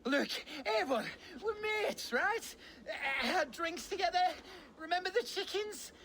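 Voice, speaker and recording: An actor in a video game playing a rough kidnapper who pleads and wheedles for mercy. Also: extremely high pitch, animated, measured pace, crowd noise, heavily acted. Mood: panicked, wheedling. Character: grovelling rough kidnapper, pleading for his life. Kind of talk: video game cutscene acting.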